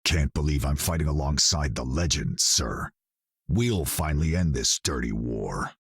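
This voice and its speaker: Hardened vigilante, gravelly, bottom-deep, grim, close dry sound, video game. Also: male voice, animated, a little slow, no room sound, heavily acted. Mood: grim respect.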